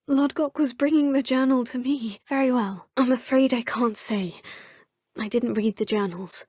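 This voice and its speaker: A woman's voice: fairly high pitch, a little mellow, husky, dry recording, studio quality. An actress playing an earnest, curious young student witch, speaking politely and formally. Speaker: player character. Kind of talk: video game voice lines.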